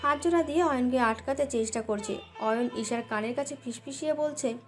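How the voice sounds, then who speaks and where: A woman's voice: high-pitched, fairly quick, soft background music. A woman narrating a scripted dramatic love story as its storyteller.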